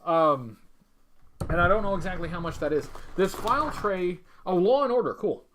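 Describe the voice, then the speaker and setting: A man hosting a casual livestream, talking in a rambling, halting, stop-start way with a wry, tongue-in-cheek manner as he chats with viewers. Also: high-pitched, lively intonation, fairly bright tone, a little hiss or hum. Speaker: livestream host. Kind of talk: casual livestream chat reading viewer messages.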